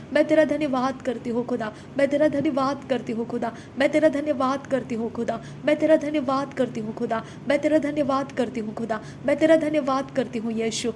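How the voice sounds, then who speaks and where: A woman with a high voice prays fervently, repeating her thanksgiving in a steady, chant-like rhythm. A low rumble and clear background noise run under the recording. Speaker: prayer minister. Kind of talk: spoken devotional prayer.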